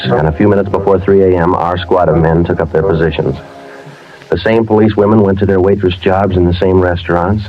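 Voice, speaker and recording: An American actor playing a terse, weary police sergeant in a 1950s radio drama, narrating in a clipped, matter-of-fact way. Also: male voice, sits low, natural voice. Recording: dull vintage radio recording, loud music.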